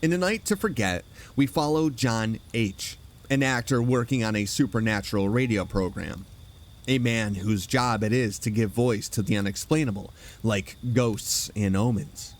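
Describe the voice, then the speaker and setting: A man hosting a horror radio program, giving a scripted, atmospheric campfire-style introduction with measured storyteller phrasing. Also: on the low side. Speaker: host introducing vintage horror dramas.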